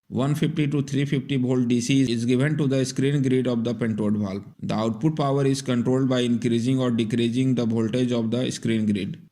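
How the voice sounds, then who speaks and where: A man's voice: medium pitch, warm tone. A man narrating a scripted technical tutorial in a methodical, step-by-step instructional manner. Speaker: repair tutorial presenter.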